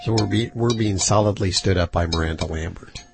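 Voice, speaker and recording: Joking low-voiced male radio host. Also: fairly quick, creaky, over loud music. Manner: dry, joking. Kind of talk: casual radio banter.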